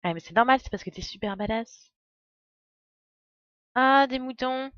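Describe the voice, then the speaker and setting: A woman's voice: high voice, unhurried, fairly bright tone, dry recording, highs cut off. A woman giving casual, chatty, jokey live commentary as a game streamer.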